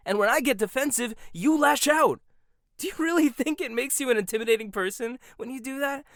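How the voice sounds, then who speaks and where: A man's voice: very high-pitched, brisk, fairly bright tone, dry recording, clean studio sound. A man playing a proud, combative young head chef in an audio roleplay, talking in a sarcastic, confrontational way. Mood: mocking. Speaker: voice actor.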